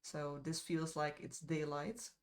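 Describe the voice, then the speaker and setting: A woman's voice: low-pitched, flat delivery, slow. A woman with a Dutch accent chatting casually to her live-stream viewers while she paints, rambling a little with fillers and asides. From Netherlands.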